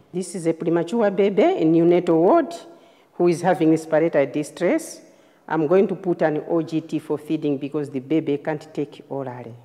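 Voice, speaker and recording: A woman nurse giving a hands-on clinical demonstration, explaining phrase by phrase in a halting way. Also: deep voice, mellow. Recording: reverberant room, long echo.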